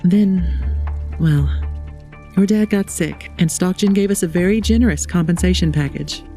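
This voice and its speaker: Woman acting a low, mellow, shrewd middle-aged mother. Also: normal speed, faint music behind, close to an everyday voice. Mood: confiding, frank. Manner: matter-of-fact, conversational storytelling. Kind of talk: fan comic audio drama acting.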